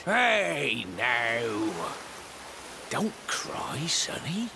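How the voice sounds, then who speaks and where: Gravelly old fisherman, very wide swings of pitch, hearty, excitable, folksy, kindly, video game character. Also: drawn-out words, background noise, exaggerated voice. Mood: gruff kindness. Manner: folksy seafarer talk, refers to himself by name. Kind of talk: video game cutscene voice acting. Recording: game music under the voice.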